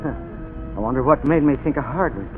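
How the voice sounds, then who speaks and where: A man's voice: mid-range voice, lively intonation, brisk, natural voice. A man playing an uneasy hospital worker. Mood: puzzled. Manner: casual, puzzled. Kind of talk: 1930s radio drama acting. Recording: tinny old 1930s radio recording with music.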